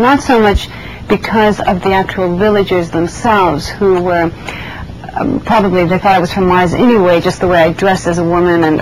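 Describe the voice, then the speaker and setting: A woman who grew up in Iran, being interviewed and recalling her past in a reflective, hesitant way, with many pauses and restarts. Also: fairly low pitch, slight background noise, low-quality recording. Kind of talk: oral history interview.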